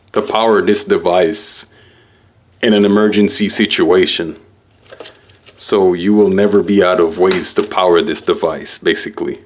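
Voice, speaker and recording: Slow, low-voiced man reviewing gear. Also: slight background noise. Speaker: product reviewer. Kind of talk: casual unboxing walkthrough.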